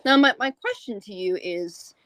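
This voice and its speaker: A woman hosts a casual interview in a chatty, conversational way. Her voice sits at an average pitch. The recording is dry, with very little room sound. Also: expressive, normal speed, fairly bright tone, dry recording. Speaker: interview show host.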